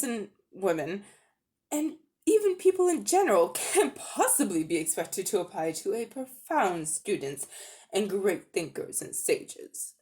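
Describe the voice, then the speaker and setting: A woman's voice: medium pitch, a little mellow. A woman voicing a pompous, self-important older uncle who dabbles in magic, with a grandiose, condescending manner, in a storybook reading.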